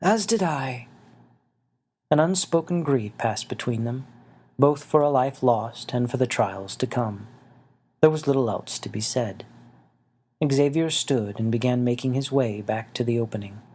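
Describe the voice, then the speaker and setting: A man reading his own fantasy story as scripted audiobook narration, in a measured, unhurried storytelling manner. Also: mid-range voice, a little mellow, breathy edge. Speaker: author reading own book.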